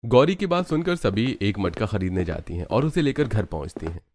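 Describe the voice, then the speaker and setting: A man narrates a cartoon story in a scripted storytelling style. His voice sits on the low side, and his intonation moves up and down in a very animated way. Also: brisk, faint music behind.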